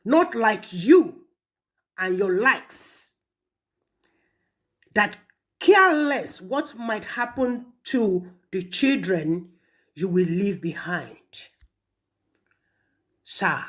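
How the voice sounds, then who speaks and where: A Nigerian woman speaks slowly, with a very lively rise and fall in pitch. She sounds stern and deliberate, admonishing her listener as in a formal recorded message and repeating phrases for emphasis. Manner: stern, deliberate, rhetorical repetition. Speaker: speaker addressing a traditional ruler in a recorded message.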